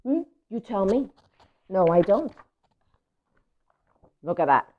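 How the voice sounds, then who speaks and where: Moody loud pigeon played by a lady, hot temper, whiny n dramatic, kids storybook, pitch all over, bit muffled. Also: big pitch swings, slow pace. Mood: indignant, complaining. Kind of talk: storybook read-aloud for children.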